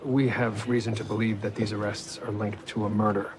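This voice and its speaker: An actor playing a composed, formal police detective in a period TV drama. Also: male voice, fairly low pitch, flat delivery, fairly quick, background noise, close to an everyday voice. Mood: probing. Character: calm, methodical male police detective. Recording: music score underneath.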